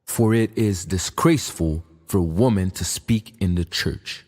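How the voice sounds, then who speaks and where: A man's voice: deep voice, a little slow. A man reading scripture aloud in a stern, declamatory way. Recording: recording with loud thunder and ambience behind.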